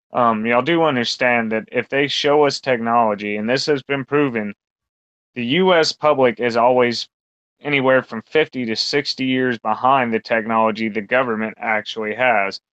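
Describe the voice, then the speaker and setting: A man's voice: mid-range voice, fairly bright tone, no echo. A man co-hosting a podcast, rambling through a casual, crude chat full of fillers and swearing. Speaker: podcast co-host. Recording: dull lo-fi sound.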